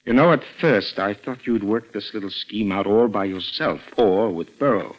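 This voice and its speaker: A man playing a suspicious middle-aged stepfather in a 1940s radio drama, falsely genial and quietly menacing, probing with deliberate pauses. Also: on the low side, close to an everyday voice, rough recording. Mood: sly, probing. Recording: vintage 1940s radio recording.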